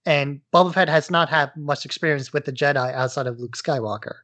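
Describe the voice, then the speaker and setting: A man's voice: on the high side, a little fast, fairly bright tone, no echo. A man co-hosting a casual podcast, speculating in a tentative way as he pieces his ideas together. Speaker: podcast co-host.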